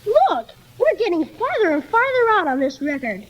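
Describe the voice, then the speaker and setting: Young boy, piping high and animated, eager, full of wonder; muffled vintage radio serial. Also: moderate pace, full character voice. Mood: excited, wondering. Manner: excitable, wholesome. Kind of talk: 1930s children's radio serial acting.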